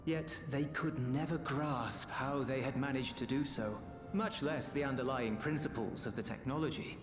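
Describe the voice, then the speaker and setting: An actor playing a solemn male sage in a video game, speaking in a grave, measured, formal manner. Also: mid-range voice, lightly acted. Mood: grave, cautioning. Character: solemn, sage male keeper of old knowledge. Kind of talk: dramatic game cutscene acting. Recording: echoey hall reverb, game music.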